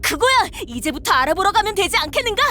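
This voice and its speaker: A woman's voice: very high-pitched, big pitch swings, quick pace, bright, forceful tone, slight background noise, heavily acted. An actress playing a bold, eager, knight-like young woman in a Korean game, with archaic, exclamatory speech. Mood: excited.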